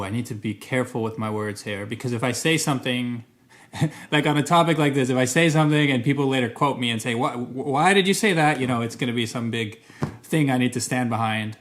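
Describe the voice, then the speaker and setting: A man's voice: mid-range voice, normal speed, a bit bright. A Canadian man with a middle-range voice talks casually to camera as a vlogger. He is thoughtful and careful, hedging often and restarting his sentences. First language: English. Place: Montreal.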